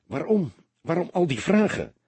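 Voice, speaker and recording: A man's voice: fairly high pitch, big pitch swings, normal speed, husky, no echo, voice pushed up, exaggerated voice. A man voicing an agitated, indignant young soldier under questioning in an audiobook, protesting in distress. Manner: stammering, yelling protests. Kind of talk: audiobook character acting.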